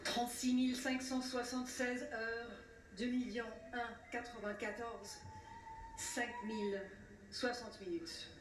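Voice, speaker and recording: A woman speaks slowly and solemnly, as an orator at a commemoration would. Her voice sits fairly high, and she declaims in measured, repeated phrases. The sound is echoing, picked up from a distance. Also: very animated. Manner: measured, declamatory, with rhetorical repetition and pauses. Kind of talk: solemn scripted commemorative speech. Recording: roomy, distant mic with faint noise.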